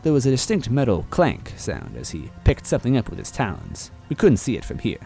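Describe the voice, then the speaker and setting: A man narrating a fan-fiction story aloud in a playful, animated way. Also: medium pitch, a little fast, gravelly, soft background music.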